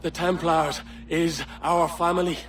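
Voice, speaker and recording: Gasping, high-voiced dying pirate. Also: male voice, level tone, unhurried, fairly bright tone, recorded from a distance, faint music behind. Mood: bitter, pained. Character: dying pirate turned Templar, bitter and reproachful. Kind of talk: dramatic video game acting.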